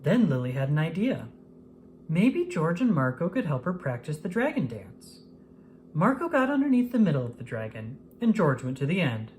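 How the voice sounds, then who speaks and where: A woman with a low-pitched voice reads a children's story aloud. Her intonation rises and falls in a lively, expressive way suited to young listeners. Some background noise sits behind her narration. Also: moderate pace.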